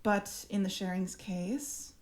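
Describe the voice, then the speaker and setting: A woman playing a cool, smug alien parasite speaking through its human host, measured and formal, in an audio drama. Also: mid-range voice, fairly even intonation, a little mellow, close to an everyday voice. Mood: smug, persuasive.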